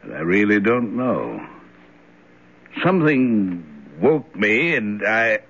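A man's voice: fairly low pitch, very animated, takes it slowly, fairly bright tone, husky, heavily acted. An actor playing an earnest, reflective older reverend and father in an old-time radio drama. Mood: reflective, shaken. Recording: dull lo-fi old radio recording.

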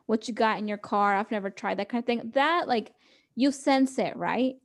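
Young woman, podcast co-host, higher voice, casual chat, chatty and laughing. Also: moderate pace. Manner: chatty, laughs often, quotes people in little voices.

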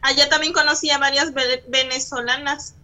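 A Mexican woman from Guerrero, a guest on a radio show, answering in a soft-spoken, modest way. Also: sits high, steady tone, normal speed. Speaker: guest dress designer.

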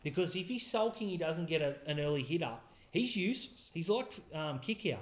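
A man's voice: on the high side, animated, a little fast. An Australian man co-hosting a casual sports podcast, with dry, gloomy and sweary humour. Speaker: podcast co-host. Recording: roomy echo.

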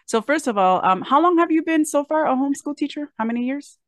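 A woman hosting an interview, asking questions and encouraging her guest with quick reactions and easy laughter. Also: sits high, expressive, no echo, clean studio sound. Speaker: podcast host, interviewer.

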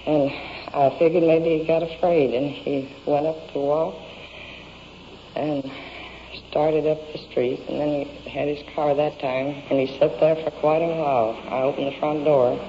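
A woman's voice: low voice, steady tone, a little slow. Lady telling police what happened, rambly everyday talk, dull noisy old tape. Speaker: murder suspect giving police statement. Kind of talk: unscripted, plain step-by-step account.

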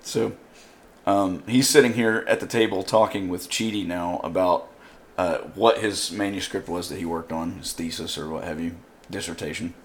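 A man's voice: low-pitched, moderate pace, slight background noise. A man casually recapping a show with friends, rambling with fillers and restarts. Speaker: show commentator.

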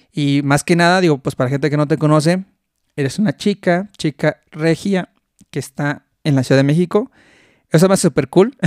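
A man's voice: on the high side, darkish tone, no echo, clean studio sound. Chatty Mexican man hosting a podcast. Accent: Mexican Spanish. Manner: chatty, laughs often, many restarts. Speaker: podcast host. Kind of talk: casual interview chat.

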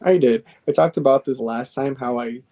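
Young guy in his 20s hosting a podcast, mellow relaxed voice, rambly and chatty. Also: mid-range voice, moderate pace, lo-fi sound. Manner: chatty, filler-heavy, restarts phrases. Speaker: podcast host. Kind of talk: casual interview chat.

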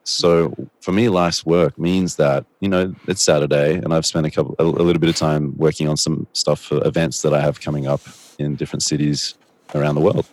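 A middle-aged man with an extremely deep, soothing voice, talking things through as an interview guest. He is thoughtful and rambling, then breaks into dramatic bursts. The sound is dry and close. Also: level tone, a little fast, a little mellow, no room sound. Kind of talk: reflective conversational answers.

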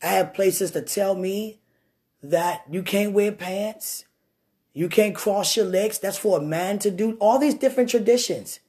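Woman preacher, deep voice, animated testimony style, loud then hushed. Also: unhurried.

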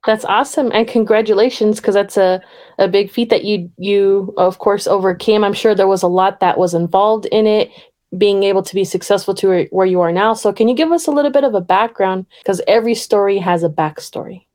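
A woman hosting a podcast, warmly welcoming and encouraging her guest with upbeat praise. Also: medium pitch, fairly quick. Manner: enthusiastic and encouraging. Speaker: podcast host. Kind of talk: casual interview conversation.

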